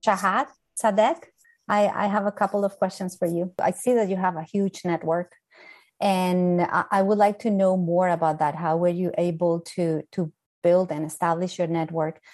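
Lowish woman interviewer, kinda halting, repeats herself. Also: fairly even intonation, a little mellow. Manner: halting, repeats words while asking.

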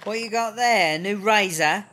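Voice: medium pitch, a little slow, pushed and bright, slight background noise. A performer playing a weary, wry British woman inmate in a comedy audio drama, speaking colloquially and a little hesitantly. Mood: wary, wry. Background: music.

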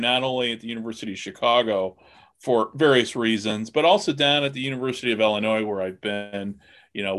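A man's voice: medium pitch, sharp tone. A man hosting a podcast and chatting casually as he interviews guests. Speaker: podcast host interviewing producers. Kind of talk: casual conversation.

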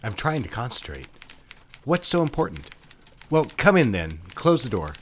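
A man performs an impatient office boss in a radio play. He is curt and snappish, with a very animated delivery over faint rustle and typing. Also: medium pitch, normal speed, a little hiss or hum, natural voice. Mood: irritated. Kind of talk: scripted audio drama dialogue.

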